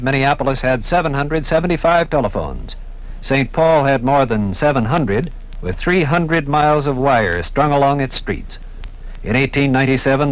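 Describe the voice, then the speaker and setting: A man's voice: medium pitch, rough recording. A man with an American accent delivering scripted narration in the style of a 1950s radio documentary.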